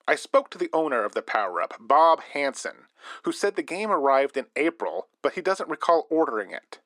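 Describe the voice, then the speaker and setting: A man's voice: a little high, sing-song, moderate pace, fairly bright tone, no room sound. A man playing an earnest radio reporter, narrating a scripted investigative story for a radio drama. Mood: probing, serious. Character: earnest male radio reporter probing an eerie death, late 1980s. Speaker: reporter and radio show host.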